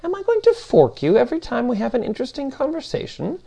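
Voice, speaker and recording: A man's voice: extremely high pitch, wildly swooping pitch, fast, vocal fry, warm tone, voice pushed up, heavily acted. A man voicing an exasperated, haughty sock-puppet machine intelligence in an audiobook, fussy and incredulous as it questions and grumbles.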